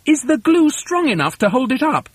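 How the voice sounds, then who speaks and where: A man's voice: very high-pitched, big pitch swings, moderate pace, dry recording, voice pushed up. A man voicing small, eager monkeys in a storybook, with a puzzled, questioning manner. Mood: doubtful. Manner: questioning, exclamatory.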